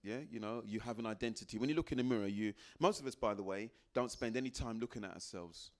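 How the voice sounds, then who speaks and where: Man, 50s, life coach; animated live talk, works the room. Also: on the low side, fast. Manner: animated, quotes people in put-on voices, asks the crowd questions. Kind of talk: motivational talk to a live audience.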